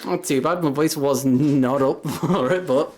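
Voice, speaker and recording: A young man with a deep voice talks loosely to his viewers on a livestream. He rambles from one thought to the next and breaks into laughter often. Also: a little slow, a little mellow, a little hiss or hum. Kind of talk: casual chat with viewers.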